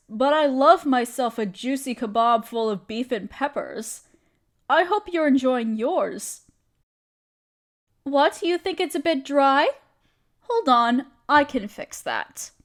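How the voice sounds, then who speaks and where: A woman's voice: sits high, fairly bright tone, exaggerated voice. A woman playing a kindly, playful witch in a scripted roleplay audio drama, speaking in a theatrical, coy way with old-fashioned endearments. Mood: cheerful, friendly.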